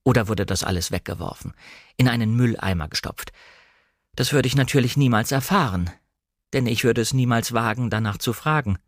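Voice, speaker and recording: A man reading a novel aloud as a shy, insecure young woman recalling her memories in an earnest, measured literary delivery. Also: medium pitch, no echo, clean studio sound, close to an everyday voice. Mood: wondering, uneasy. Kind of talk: first-person audiobook narration of a novel.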